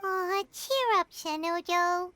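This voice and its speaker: A woman voicing a tiny, cheerful fairy girl companion in a video game, with a squeaky, childlike, cheeky delivery. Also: slow, pushed and bright, no echo, full character voice. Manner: bubbly, cheeky, speaks in third person. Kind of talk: scripted video game dialogue.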